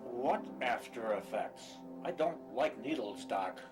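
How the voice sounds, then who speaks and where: A man's voice: mid-range voice, expressive, slow. A man playing a nervous, hesitant fugitive patient in an audio drama, plain-spoken and uneasy. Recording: music behind the voice.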